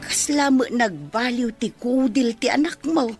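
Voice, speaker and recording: A woman's voice: a little high, measured pace, a little mellow, faint music behind. A woman playing a caring, fussing older mother and new grandmother in a radio drama, with a Filipino accent. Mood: concerned.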